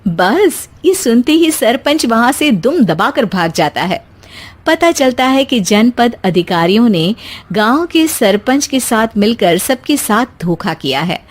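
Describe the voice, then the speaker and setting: A woman's voice: a little high, very animated, a little slow. A woman storyteller reading a scripted moral tale as narration.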